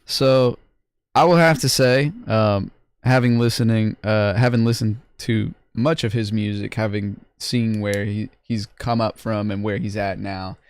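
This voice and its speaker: A man's voice: on the low side, steady tone, measured pace, clean tone, no echo. A young man hosting a livestream, casually rating music contest entries in loose, slangy talk full of restarts. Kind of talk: casual livestream review chat.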